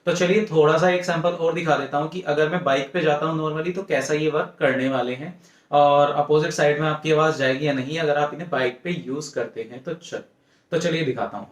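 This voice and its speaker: Higher-pitched Indian man reviewing gadgets. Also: fairly even intonation, a little fast. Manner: explanatory, mixes English phrases into speech. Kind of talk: casual product review to camera.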